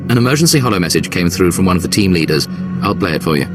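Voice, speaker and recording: Low-voiced, deferential military commander. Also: male voice, expressive, racing, over music. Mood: urgent. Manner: formal, clipped, respectful. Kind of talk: video game cutscene dialogue.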